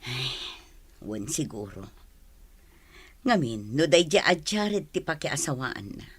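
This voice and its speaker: A woman playing a bossy, nagging older mother with a husky voice and an Ilocano accent in a comedy drama. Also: very deep voice, big pitch swings, takes it slowly, a bit bright, heavily acted. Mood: grumbling, worried. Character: bossy, nagging older mother arranging her daughter's wedding. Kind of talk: Filipino comedy-drama dialogue.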